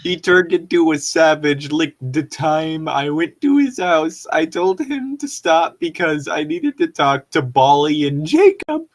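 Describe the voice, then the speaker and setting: Slow-talking, sarcastic, profane co-host. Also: medium pitch, expressive. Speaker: co-host reading fan fiction aloud. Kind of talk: comedic dramatic reading with joking asides.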